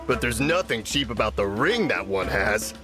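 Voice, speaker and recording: A man's voice: lowish voice, big pitch swings, normal speed, music in the background. A man playing a sly, gloating bandit sidekick in a video game cutscene, taunting and theatrical. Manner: gloating, echoes his partner's words.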